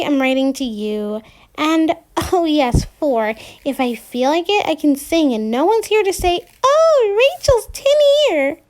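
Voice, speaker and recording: A woman's voice: sits high, exaggerated voice. A woman voicing a wry teenage girl who teases dryly and gets flustered when caught out. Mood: dreamy.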